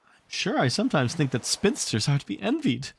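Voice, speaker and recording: A man's voice: fairly high pitch, wildly swooping pitch, a little fast, creaky, a little hiss or hum, voice pushed up, heavily acted. A man voicing a prim, fussy young motherly girl in a storybook, speaking in a tart, simpering way. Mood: tart, fretful.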